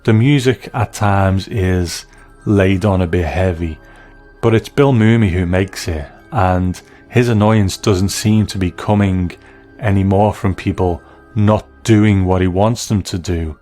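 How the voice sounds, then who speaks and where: A man giving conversational review commentary, reflective and measured, as narrator. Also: deep voice, warm tone, faint music behind.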